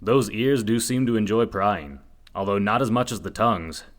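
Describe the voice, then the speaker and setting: An actor playing an earnest, dim young reporter in a comedic radio play, delivering lines in a deadpan, earnest manner. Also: male voice, lowish voice, moderate pace, natural voice.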